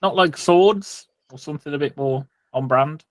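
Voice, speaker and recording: A man's voice: fairly high pitch, normal speed, dry recording. A man narrating a tabletop game as game master, improvising his descriptions with some hesitation.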